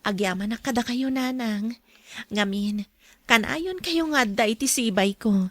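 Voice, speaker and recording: An actress playing a devoted, calm young wife in an audio drama, with an Ilocano Filipino accent. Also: female voice, on the high side, measured pace, no echo. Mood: reassuring.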